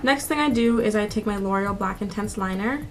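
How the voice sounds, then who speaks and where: A woman's voice: mid-range voice, fairly quick, poor audio. A woman in her twenties narrating a makeup tutorial in a casual, informal, conversational way as a beauty vlogger. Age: 20s. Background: background music.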